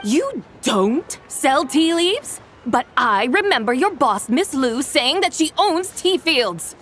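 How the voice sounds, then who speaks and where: Bossy old-fashioned immortal lady in a game, highish, very swoopy, formal. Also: big pitch swings, takes it slowly, a bit bright, faint music behind, exaggerated voice. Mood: puzzled. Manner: imperious, formal, archaic phrasing. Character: haughty, eccentric female adeptus spirit, imperious. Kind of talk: video game cutscene dub acting.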